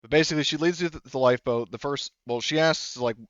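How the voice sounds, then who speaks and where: A man narrating a tabletop roleplaying game as game master, in a halting, informal way. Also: on the high side, a bit bright, smooth, no room sound, muffled recording.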